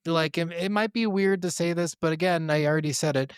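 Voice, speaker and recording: A man's voice: fairly high pitch, fairly quick, clear, resonant tone, no room sound, studio quality. A man speaking as a podcast co-host, chatting about video games in a blunt, colloquial way and swearing freely.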